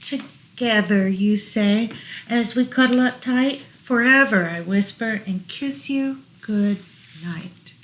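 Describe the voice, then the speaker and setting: A woman with a slightly high voice reads picture books to little children. She takes her time and explains things gently, in the manner of a library storytime. There is some noise behind her voice. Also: slow pace. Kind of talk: read-aloud picture books for small children.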